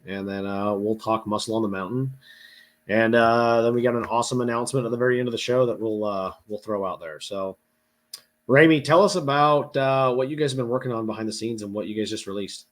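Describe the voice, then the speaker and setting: A man's voice: medium pitch, a little fast. A congested man hosting a casual online talk show, easygoing and full of fillers and restarts. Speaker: podcast host. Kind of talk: casual video-call chat.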